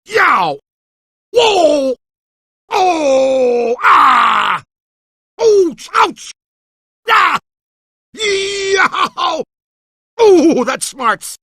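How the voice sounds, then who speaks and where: Middle-aged ghostbuster type for a game, eager team leader, swings all over, super dry sound. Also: male voice, extremely high pitch, big pitch swings, very slow, pauses often, bright, forceful tone, dry recording, shouting, heavily acted. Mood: pained, startled. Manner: enthusiastic, slangy, folksy team-leader talk. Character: eager, excitable middle-aged male ghost hunter mentoring a rookie. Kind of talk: video game voice acting.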